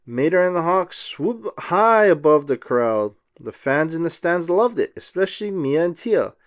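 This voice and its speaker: A man reading a children's storybook aloud as narrator, in an expressive style. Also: on the high side, measured pace.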